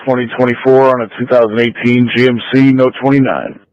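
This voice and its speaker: A man's voice: mid-range voice, little pitch movement, brisk, no echo. Man, police dispatcher, clipped radio codes, dull muffled scanner audio, dry. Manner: clipped, terse.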